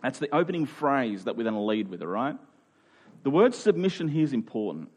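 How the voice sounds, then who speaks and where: A man's voice: mid-range voice, big pitch swings, brisk, breathy edge. A man preaching a sermon in a conversational way, building to emphatic peaks. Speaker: pastor.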